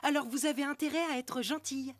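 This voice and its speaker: A woman's voice: high voice, fairly even intonation, fast talker, no room sound, close to an everyday voice. A woman voicing a bossy youngster from a ranch-owning family in a children's cartoon. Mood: informative, bossy. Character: bossy youngster whose parents own the ranch. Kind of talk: animated children's series dub.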